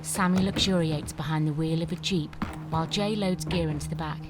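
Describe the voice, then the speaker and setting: A woman's voice: sits low, fairly even intonation, fairly quick, over music. A woman reading scripted screenplay stage directions as the narrator of an audio drama.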